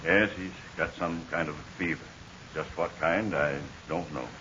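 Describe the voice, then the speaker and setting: A man in 1940s radio acting, playing a weak, halting stranded pilot. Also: low voice, bright, forceful tone. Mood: weary. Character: weak stranded adult male pilot, major. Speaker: Major Steele. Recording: dull hissy 1940 radio transcription.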